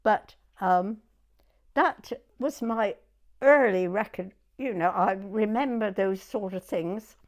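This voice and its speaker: A woman's voice: mid-range voice, takes it slowly. A woman in her nineties with a southern English accent, reminiscing hesitantly in an interview, often repeating herself and trailing off. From Weymouth, Dorset, England. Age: 90s. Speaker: oral history interviewee.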